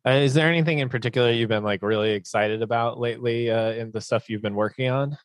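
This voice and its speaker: A man hosting a science podcast, reading a scripted intro and asking interview questions clearly. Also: medium pitch, quick pace, no room sound. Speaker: podcast host.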